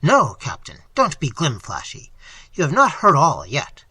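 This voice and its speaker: A man's voice: a little high, huge pitch swings, measured pace, pushed and bright, husky, no echo, exaggerated voice. A man reading an eager robber's sidekick. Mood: earnest. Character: admiring young highwayman follower, eager.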